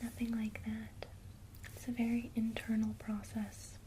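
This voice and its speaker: A woman teaching a witchcraft lesson in a soft, gentle ASMR whisper. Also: on the high side, monotone, unhurried, a little hiss or hum, poor audio.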